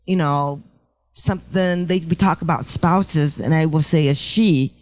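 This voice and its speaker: A middle-aged woman with a low voice talks with an easy, self-mocking humor, circling back and restarting her thoughts. The sound is dry and a little dull, with no room around it. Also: unhurried, a little mellow, no echo. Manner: chatty and self-joking, with many restarts. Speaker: guest, house painter. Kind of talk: casual podcast interview.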